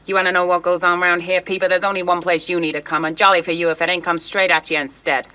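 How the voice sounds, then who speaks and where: A motor-mouthed reporter in a vintage radio detective comedy. She boasts and wisecracks at high speed. Her voice is slightly low, with a sharp, bright edge. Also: flat delivery, quick pace, highs cut off. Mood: brash, boastful. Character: brash motor-mouthed female newspaper reporter. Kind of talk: 1950s radio comedy acting.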